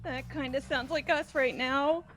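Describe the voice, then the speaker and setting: A woman's voice: high voice, fairly even intonation, a little slow, a bit bright, faint music behind, rough recording. A woman voicing an emotional teenage schoolgirl in an amateur game dub. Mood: troubled.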